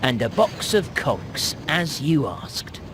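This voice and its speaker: A man playing a cheeky, shifty rat salesman in an animated game, with oily, theatrical patter. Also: medium pitch, slow pace, noisy background. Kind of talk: animated video game voice acting.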